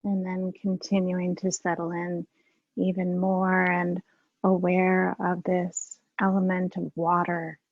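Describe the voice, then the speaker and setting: A woman leading a guided meditation as a teacher, unhurried and leaving long pauses. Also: lowish voice, monotone, no room sound, phone-quality sound.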